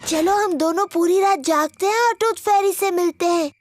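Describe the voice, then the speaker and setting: A woman's voice: very high-pitched, moderate pace, no room sound. A woman voicing a cheerful, bossy little girl pig, an eager big sister in a children's cartoon. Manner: bossy, matter-of-fact, childlike. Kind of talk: cartoon dubbing.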